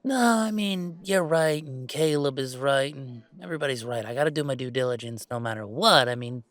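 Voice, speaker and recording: A man acting as a playful werewolf streamer in an intimate roleplay audio drama. He grumbles and banters in a medium-pitched, natural voice, mock-defensive yet fond. The recording is dry and free of room sound. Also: expressive, a little fast, fairly bright tone, no room sound, natural voice. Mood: fond, earnest. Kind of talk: one-sided roleplay audio drama, conversational.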